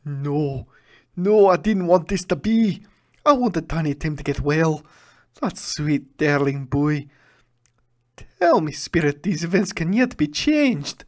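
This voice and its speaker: An old storybook miser, strained and pleading, his voice climbing high and loud with emotion, as a man puts him on. The delivery is tense and theatrical. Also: big pitch swings, slow. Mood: desperate. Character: old miserly man, frightened then joyous.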